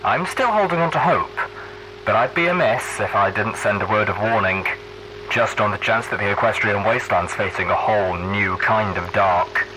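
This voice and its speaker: A man's voice: on the low side, normal speed, noisy background, lightly acted. A man playing an earnest pony radio DJ, preaching to wasteland listeners in a folksy, solemn way, like a post-apocalyptic broadcast. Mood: grave, cautioning.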